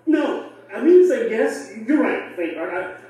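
Fussy, wavering friend, echoey. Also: male voice, very high-pitched, very animated, measured pace, dark tone, full character voice. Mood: flustered. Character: timid, self-important, wavering young friend. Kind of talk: audio drama acting. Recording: very echoey playback from far off.

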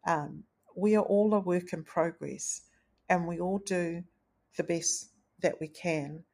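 A woman hosting a solo podcast, speaking in an earnest, gentle, thoughtful way with a low, tenor-like voice. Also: steady tone, slow pace. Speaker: podcast host. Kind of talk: reflective solo podcast talk.

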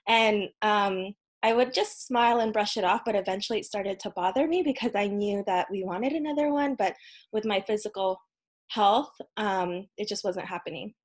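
Woman in her thirties, podcast guest, earnest, casual chat, filler ums, mid pitch. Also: normal speed. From United States. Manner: earnest, frequent ums, soft laughs. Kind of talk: casual interview conversation.

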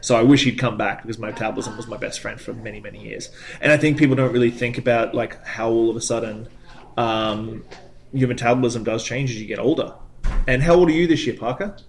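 A man's voice: medium pitch, quick pace, creaky. A man in his thirties hosting a casual chat about bar work, rambling and laughing often. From moved to Canada as an adult.

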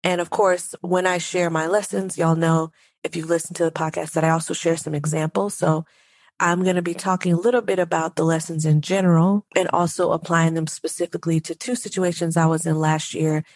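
A woman's voice: fairly low pitch, level tone, brisk, darkish tone, no room sound. A woman hosting her own podcast who talks with a stuffy nose, chatting directly to her listeners in a solo, conversational monologue. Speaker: podcast host.

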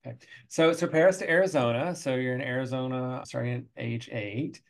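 A man's voice: mid-range voice, fairly even intonation, fairly quick, gravelly. A man hosting an interview podcast, chatting casually in a friendly way that often stumbles over repeated words. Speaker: podcast host, professor.

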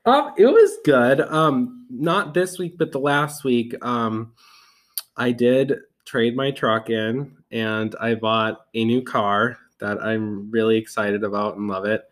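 A man's voice: mid-range voice, takes it slowly. A man talks about his week in an easy, rambling way. He leans on "um" and "I mean" and laughs along as he goes. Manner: relaxed and rambling, with many fillers and laughs. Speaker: podcast co-host. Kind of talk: casual chat.

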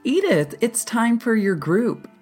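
A woman's voice: fairly high pitch, sing-song, takes it slowly, a little mellow, faint music behind, pitched above the performer's own voice, exaggerated voice. Woman acting a polite, insistent female nurse. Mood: firm, polite.

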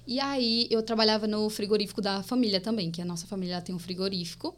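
A woman's voice: medium pitch, fast talker. Young woman with a mixed Northeastern Brazilian accent. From Paraíba, Brazil. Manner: lively storytelling, often addressing listeners as "gente". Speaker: podcast guest. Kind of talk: casual podcast chat.

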